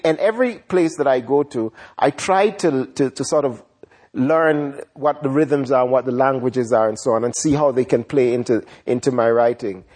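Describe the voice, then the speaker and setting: A writer who grew up in Ghana, answering interview questions in a reflective, unhurried way, often repeating words as he thinks. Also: fairly high pitch, a little mellow. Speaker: writer and guest.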